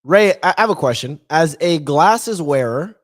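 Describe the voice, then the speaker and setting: Man, higher voice, lively swooping pitch, playful, casual podcast. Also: normal speed. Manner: animated, playful.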